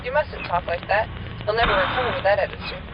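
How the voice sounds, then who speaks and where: A woman's voice: mid-range voice, quick pace, close to an everyday voice. A woman playing an exasperated, long-suffering young wife in an old-time radio melodrama. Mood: soothing. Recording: dull old-radio sound, clear background noise.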